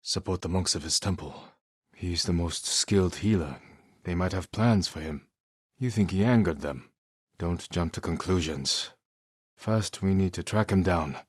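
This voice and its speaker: A husky, fairly low male voice as a stoic samurai in a dramatic video game. He speaks in terse, measured phrases with grave determination. The sound is close and dry. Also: no echo. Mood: stern, measured. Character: stoic, determined samurai warrior, adult man.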